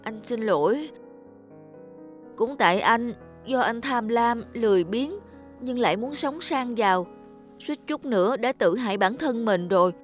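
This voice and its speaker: Woman as a high-pitched remorseful elder brother. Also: animated, a little slow, soft background music. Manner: contrite, earnest. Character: remorseful elder brother, reformed lazy drinker. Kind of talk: children's cartoon dubbing.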